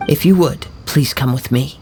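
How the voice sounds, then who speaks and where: Man voicing a calm, gravelly young samurai. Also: mid-range voice, a little hiss or hum, lightly acted. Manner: calm, measured, poetic. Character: calm young male wandering samurai, poetic. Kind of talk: video game voice acting. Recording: soft game music underneath.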